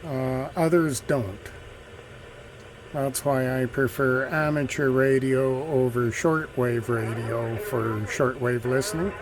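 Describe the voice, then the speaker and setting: A man giving casual, unhurried, musing commentary as he demonstrates radio listening as a hobbyist host. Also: medium pitch, fairly even intonation, poor audio. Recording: clear radio static and noise. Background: shortwave radio hiss and chatter.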